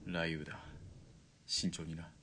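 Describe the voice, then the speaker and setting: A steady army spotter, voiced by a man in a radio drama, with a low voice. He gives crisp, clipped commands and talks to his partner in rough, joking speech. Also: unhurried, slight background noise.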